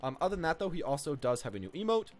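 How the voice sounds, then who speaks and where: Male gaming host, quick and informal, slightly high voice, lively pitch swings, music underneath. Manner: rambling, informal. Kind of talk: casual unscripted video commentary on game cosmetics.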